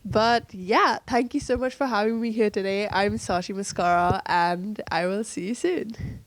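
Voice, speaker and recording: A woman's voice: mid-range voice, moderate pace, no room sound. A young woman from India introducing herself casually to camera in an upbeat, chatty way. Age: young adult. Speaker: news intern introducing herself.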